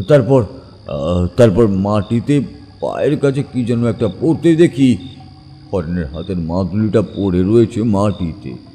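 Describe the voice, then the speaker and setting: An actor playing a haunted man retelling a terrifying ghost memory in a horror audio drama, halting and full of dread, with dramatic pauses. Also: on the low side, mellow, long reverb tail. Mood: shaken, bewildered.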